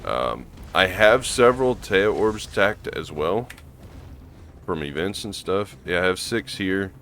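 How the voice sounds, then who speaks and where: A hoarse man with a gravelly voice, rambling casually through gameplay commentary with lots of ums and restarts. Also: low voice, lively intonation, slow, a bit bright, slight background noise. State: hoarse from allergies. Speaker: gaming channel host.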